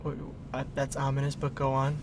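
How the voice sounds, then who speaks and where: A man playing a naive, newly self-aware artificial being, puzzled and quick to interject, in a comic sci-fi radio play. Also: medium pitch, completely flat delivery, slight background noise, natural voice. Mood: puzzled, agreeable.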